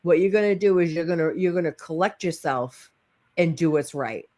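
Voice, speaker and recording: A woman with a Boston accent co-hosting a live stream over a video call, talking in a brash, profane, animated way. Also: fairly low pitch, moderate pace. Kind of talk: casual chat.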